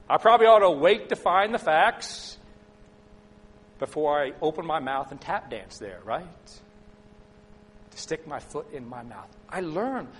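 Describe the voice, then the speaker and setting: A middle-aged man preaching a sermon, telling a personal story and asking the congregation questions, sometimes loud and sometimes soft. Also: fairly high pitch, a little hiss or hum. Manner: conversational, asks the congregation questions, swings between loud and soft. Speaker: pastor.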